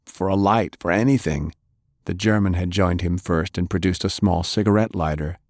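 A man reading fiction as an audiobook narrator, dramatic and grave, with measured delivery. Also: low voice, darkish tone, no room sound, clean studio sound.

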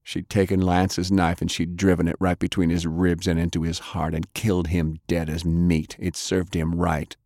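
A man with a fairly low voice reads scripted fiction as an audiobook narrator. The recording is very dry, with no audible room around him. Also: little pitch movement, moderate pace, few pauses, a little mellow, no room sound.

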